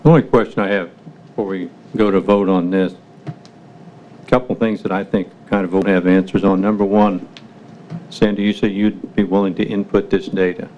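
A middle-aged man chairing a city council meeting, speaking in a measured, hesitant way. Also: low-pitched, steady tone, mellow. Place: council chamber at a table. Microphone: table microphone. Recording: dull meeting recording, faint noise.

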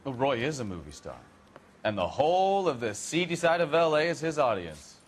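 An actor playing a cynical, needling American veteran traffic cop in a video game cutscene, sardonic and wisecracking. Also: male voice, mid-range voice, big pitch swings, moderate pace, close to an everyday voice. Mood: sardonic, amused. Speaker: police detective.

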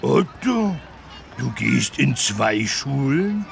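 A man voicing a big, good-natured, childlike talking elephant with a gravelly, naive, wondering delivery in a children's cartoon game. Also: mid-range voice, very animated, very slow, heavily acted. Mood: curious, friendly. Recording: street traffic noise behind the voice.

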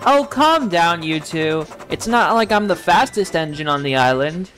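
A man's voice: on the high side, lively intonation, moderate pace, fairly bright tone, soft background music, voice pushed up. A man voicing a cheeky, carefree young cartoon tank engine with breezy, whiny protests. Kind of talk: fan-made children's train story.

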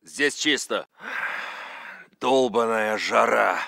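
An actor playing a tense, curt Russian soldier in a military video game, with a Russian accent. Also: male voice, on the low side, drawn-out words, creaky, bright, forceful tone, no room sound, studio quality, exaggerated voice. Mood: terse.

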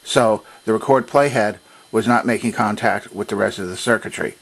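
A man presenting an unscripted hands-on technical demonstration in a halting, methodical, explanatory way. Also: lowish voice, creaky, a bit bright, husky, low-quality recording. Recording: noticeable background noise.

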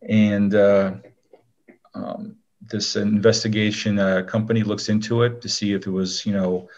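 A man talking casually as an actor being interviewed, rambling along with frequent pauses. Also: lowish voice, level tone, darkish tone, highs cut off.

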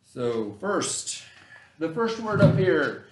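A man's voice: on the high side, sing-song, slow pace, heavy background noise. A middle-aged man teaching a remote lesson to children, explaining simply, repeating key terms and checking understanding with short tags. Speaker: elementary school teacher. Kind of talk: remote read-aloud vocabulary lesson for children. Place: classroom, indoors.

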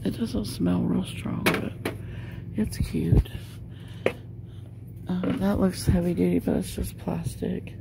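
A woman's voice: fairly low pitch, steady tone, slow, gravelly, long pauses, background noise. A middle-aged woman chatting casually as she films a walk through a large store, talking loosely with many fillers. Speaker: shopping vlogger. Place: inside a large discount store. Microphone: handheld phone camera.

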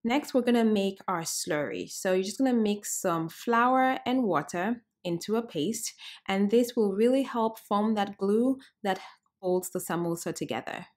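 A cheerful woman in her thirties hosts a home cooking tutorial, chatting straight to her viewers. Her voice sits at an ordinary middle pitch and she talks in a friendly, upbeat way. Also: unhurried, a little mellow. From Cameroon. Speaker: cooking show host. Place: bright home room, indoors.

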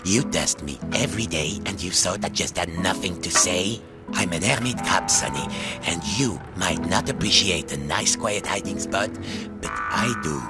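Guy voicing a cheeky hermit crab, gravelly, slow, swings way up and down, music behind. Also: expressive. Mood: scolding. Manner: cheeky, blustering, swings high and low. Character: rude boastful male hermit crab.

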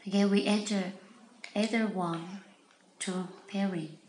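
Slow, halting non-native English-speaking woman, echoey room. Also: medium pitch, fairly even intonation, darkish tone. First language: not English. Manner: halting, simple broken phrases, repeats instructions. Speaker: product demonstrator. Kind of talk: step-by-step tutorial instruction. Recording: echoey room with background noise.

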